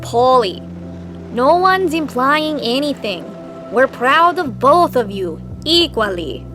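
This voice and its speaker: A woman playing a gentle, placating mother in a radio drama. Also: high voice, big pitch swings, slow, full character voice. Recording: clear music under the voice.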